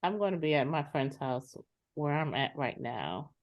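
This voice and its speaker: A woman's voice: low voice, very animated, normal speed. A woman playing a fed-up adult sister in a dramatized therapy session, speaking in an exasperated, insistent, blunt way. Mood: weary, distrustful. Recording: dull lo-fi sound.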